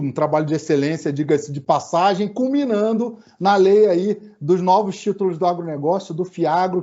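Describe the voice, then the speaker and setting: A man's voice: fairly high pitch, mellow, highs cut off. A man with a Rio de Janeiro accent, a lawyer giving long flowing interview answers, often tagging on "né". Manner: flowing, explanatory, frequent "né". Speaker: lawyer guest.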